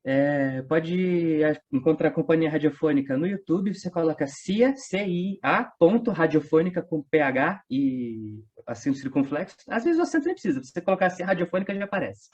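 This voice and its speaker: A man's voice: a little high, very animated, moderate pace, few pauses, darkish tone. A man with a Brazilian accent chatting casually as an interview guest, speaking in a colloquial, emphatic way. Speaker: guest, radio drama company member. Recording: dull lo-fi sound.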